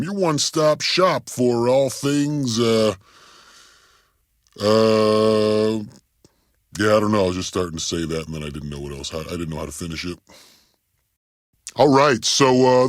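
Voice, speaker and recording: A man playing a goofy, self-important rock radio DJ in a video game, rambling in a deadpan way with ums and trailing-off thoughts. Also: mid-range voice, no room sound, studio quality. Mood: upbeat announcing. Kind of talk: comedic radio DJ patter.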